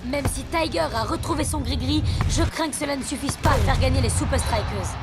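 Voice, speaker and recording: High-voiced cartoon sports commentator. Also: female voice, fairly quick, loud music behind the voice. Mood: lively. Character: lively female sports commentator. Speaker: match commentator.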